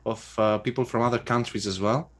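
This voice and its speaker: A man from Greece with a Greek accent, a guest in an interview, speaking English thoughtfully with many pauses. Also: mid-range voice, fairly even intonation, a bit bright. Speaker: guest, adventure writer. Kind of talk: casual interview answers.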